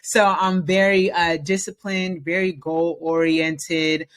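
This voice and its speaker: A woman's voice: fairly low pitch, level tone, slow pace. A woman in her thirties from the Bay Area, talking as a guest in a casual interview, upbeat and confident with plenty of fillers. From San Francisco Bay Area, US. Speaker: podcast guest.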